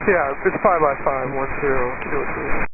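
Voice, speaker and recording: A man's voice: mid-range voice, a little fast, vocal fry. A man speaking as a pilot over aircraft radio in clipped readback phrases. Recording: muffled, staticky air traffic radio.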